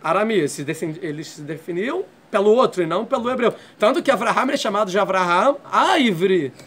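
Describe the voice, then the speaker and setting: A man's voice: high voice, animated, fairly quick, fairly bright tone, breathy edge. A man teaching a Torah class. He explains step by step and keeps checking in with his students. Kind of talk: classroom lecture.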